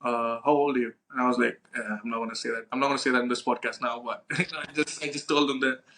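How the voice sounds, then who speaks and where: A man's voice: medium pitch, fairly even intonation, quick pace, vocal fry, sharp tone, slight background noise. A young man telling casual anecdotes as a podcast co-host, slangy and sweary, acting out conversations and often checking in with "right?". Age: young adult. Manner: informal, slangy, acts out dialogue, frequent "right?" tags. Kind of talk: casual anecdotal storytelling with profanity.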